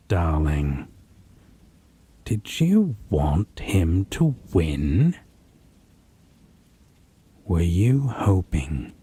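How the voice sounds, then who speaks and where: A man playing a possessive, condescending vampire lover in a roleplay audio drama, haughty and deliberate with a menacing, husky delivery. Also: low-pitched, warm tone, heavily acted. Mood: mocking, wounded. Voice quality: breathy, husky.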